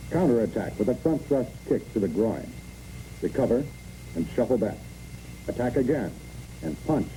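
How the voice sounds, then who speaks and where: Male training film narrator, very dark muffled tone, clipped commands, swooping pitch, old recording. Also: a little hiss or hum. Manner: clipped, imperative delivery. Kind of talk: scripted instructional narration.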